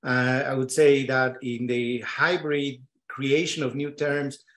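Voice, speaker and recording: A man's voice: mid-range voice, flat delivery, takes it slowly, fairly bright tone. A man whose first language is neither English nor French speaks earnestly as a panelist into a handheld mic on a stage, searching for words. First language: not English or French. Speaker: panelist, artist. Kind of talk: round-table discussion. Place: large dark stage with audience.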